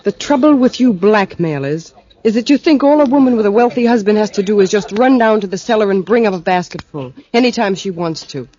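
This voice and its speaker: A woman's voice: medium pitch, animated, fairly quick, mellow, lightly acted. An actress playing a cool, guarded married woman with a criminal past in an American old-time radio drama, speaking in a clipped, sardonic, world-weary way. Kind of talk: 1940s radio drama acting. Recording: dull old-time radio recording with music.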